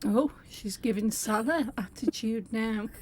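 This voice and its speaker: A woman's voice: fairly high pitch, a little slow, darkish tone, husky. A woman hosting reaction commentary, talking in a sarcastic, scolding way. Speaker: commentary host.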